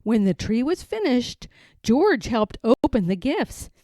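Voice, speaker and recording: A woman reading a children's picture book aloud in an expressive, sing-song way. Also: on the high side, measured pace, no echo. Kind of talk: storybook read-aloud.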